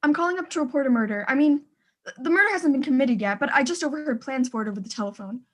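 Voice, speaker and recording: A woman's voice: high-pitched, expressive, racing. A woman acting in a radio thriller, playing a fretful, imperious well-to-do wife who is indignant, demanding and stammers in agitation. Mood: flustered.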